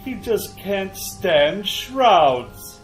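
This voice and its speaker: A man playing a gleeful, ghoulish horror host in a retro radio drama, theatrical and drawn-out with mock courtesy. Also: high voice, big pitch swings, echoey room, faint music behind, exaggerated voice. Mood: creepy welcoming.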